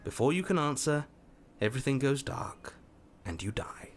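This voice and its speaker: An actor voicing a calm male game narrator who guides the hero, measured and faintly condescending. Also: mid-range voice, natural voice. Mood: wry. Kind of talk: video game voice acting. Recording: game audio with music behind.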